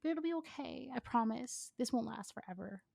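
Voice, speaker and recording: A woman's voice: a little high, expressive, brisk, gravelly, mellow, no echo, voice pushed up, heavily acted. A woman voicing a supportive teenage girl best friend in a story reading, earnest, firm and soothing.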